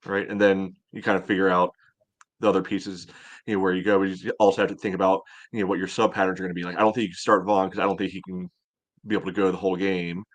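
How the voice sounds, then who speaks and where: Man, low even monotone, rapid pace, casual tactics chat, rambling, filler-heavy. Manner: rambling, peppered with "you know". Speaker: podcast co-host. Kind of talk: casual soccer tactics talk.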